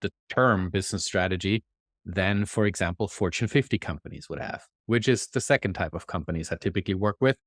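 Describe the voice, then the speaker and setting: A man with a German accent, a podcast guest, explaining his work in a thoughtful, measured way. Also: deep voice, no room sound, studio quality. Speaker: guest, executive consultant. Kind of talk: conversational interview.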